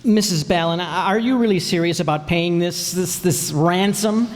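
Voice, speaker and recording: A man's voice: high-pitched, normal speed, natural voice. A man playing a matter-of-fact investigator, acting and narrating in an old-time radio drama. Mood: concerned. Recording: echoey hall, long reverb.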